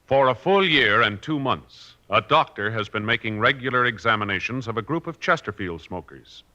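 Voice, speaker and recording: A man's voice: medium pitch, expressive, normal speed, sharp tone. A male announcer reading in a formal 1950s radio style. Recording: dull vintage radio recording.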